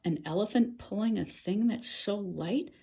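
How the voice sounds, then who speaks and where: An older woman reads a rhyming children's story aloud in an expressive, theatrical way, with musing exclamations and dramatic pauses. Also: medium pitch, creaky. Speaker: picture-book reader.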